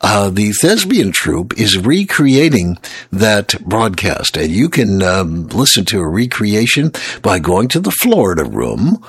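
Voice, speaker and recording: A man hosting a morning radio show, rambling and chatty with teasing asides, his voice gravelly and hoarse today. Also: on the low side, very animated, a little slow, a bit bright, dry recording. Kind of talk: casual morning radio announcing. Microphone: studio microphone.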